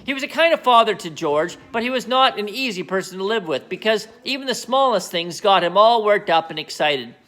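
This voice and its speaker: A man reading a children's book aloud as an expressive narrator. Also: high-pitched, fairly quick, few pauses, rough recording. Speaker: storybook reader.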